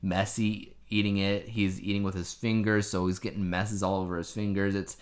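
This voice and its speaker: A man with a fairly low voice talks as a podcast co-host. His style is casual and conversational, like someone recounting and reviewing things for a friend, with emphatic asides along the way. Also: level tone, normal speed. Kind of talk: casual chat reviewing a TV show.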